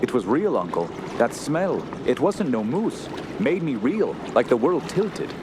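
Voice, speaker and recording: An actor playing an earnest, shaken young woodsman who tells his story in a radio horror drama, halting and solemn. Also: mid-range voice. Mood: shaken, insistent. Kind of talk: radio horror narration and acting. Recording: loud steady outdoor background noise.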